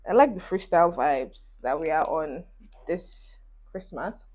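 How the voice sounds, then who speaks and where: A Nigerian woman in her twenties talks to her followers in a relaxed get-ready-with-me vlog, recorded on a phone. She rambles easily and cheers her viewers on while applying makeup. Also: low voice, a little slow. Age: 20s. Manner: chatty, encouraging, talks while doing makeup. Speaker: beauty vlogger. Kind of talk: casual get-ready-with-me chat to camera. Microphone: phone camera.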